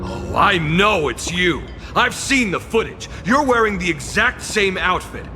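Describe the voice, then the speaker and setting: A man's voice: high voice, animated, measured pace, bright, forceful tone, soft background music, heavily acted. A man voicing a courteous, formal male guide who is easily flustered in a video game. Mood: indignant, accusing.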